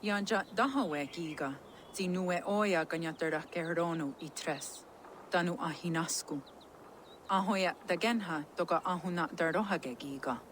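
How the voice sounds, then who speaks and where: A woman's voice: fairly low pitch, moderate pace, slight background noise, close to an everyday voice. A woman playing a villager who addresses strangers in a video game cutscene. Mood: measured.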